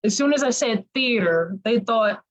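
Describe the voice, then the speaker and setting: A woman's voice: a little high, animated, moderate pace, muffled recording. A woman answering conversationally as an interview guest, chatty and emphatic about her points. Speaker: talk show guest, actress.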